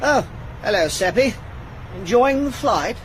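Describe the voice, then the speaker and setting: A man's voice: very high-pitched, expressive, a little slow, slight background noise, poor audio. An actor playing a chummy, joking British airman in a radio drama, bantering in a jaunty way. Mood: jovial.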